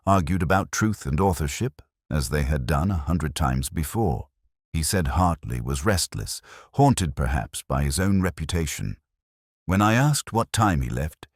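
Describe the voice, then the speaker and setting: A man narrating a detective audio drama in the first person as a melancholy, cerebral inspector, in a measured, literary, brooding way. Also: extremely low pitch, no echo, clean studio sound. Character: melancholy, cerebral older male police inspector.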